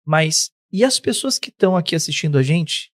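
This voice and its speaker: A man's voice: fairly high pitch, lively intonation, moderate pace, no echo, clean studio sound. A man hosting a business podcast, giving short nods and asking long, careful questions. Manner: attentive, short affirmations, long framed questions. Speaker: interview host. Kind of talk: podcast interview.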